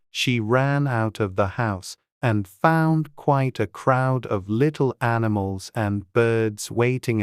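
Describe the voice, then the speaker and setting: An unhurried storyteller reading a classic tale aloud, slow and measured with gentle expression. The voice sits at a middle pitch and sounds dry and close to the mic. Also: dry recording. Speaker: audiobook narrator. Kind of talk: scripted storybook narration.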